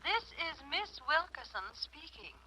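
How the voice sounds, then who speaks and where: Vintage radio dame: American, high, very bright and forceful, crisp formal delivery with bite. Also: female voice, sing-song, a little slow, full character voice, poor audio. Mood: brisk, formal. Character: brisk secretary-like female agent turning sharp-tongued blackmailer. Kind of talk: 1940s radio detective drama.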